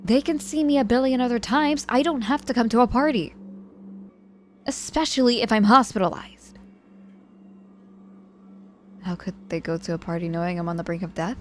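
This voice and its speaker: A woman acting a proud, guarded young witch hurt in hospital, halting and grudgingly tender, in an intimate ASMR audio roleplay. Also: fairly high pitch, a little hiss or hum, close to an everyday voice. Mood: flustered, insistent.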